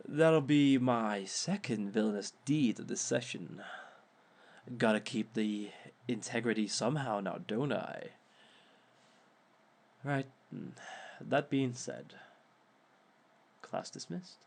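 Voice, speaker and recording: Man playing a slow-talking, smug villain lecturer. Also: medium pitch. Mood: smug, playful. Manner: theatrical, measured, drawn-out phrasing with sly chuckles. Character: suave, smug reformed male villain lecturing as a relationship expert. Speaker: speaker leading a seminar session. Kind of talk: scripted in-character audio roleplay lecture.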